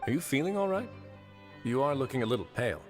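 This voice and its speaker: An actor playing a composed, authoritative duke of a prison fortress in a video game, speaking in a measured, formal way. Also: male voice, medium pitch, expressive, natural voice. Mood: wry, calm. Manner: measured, formal, dryly understated. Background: faint music.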